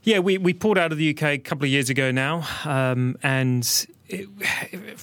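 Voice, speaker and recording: Kiwi guy in his 30s, relaxed, lots of ums, dry studio sound. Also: fairly high pitch, moderate pace, dry recording. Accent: New Zealand. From New Zealand. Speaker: podcast guest. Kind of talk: casual interview.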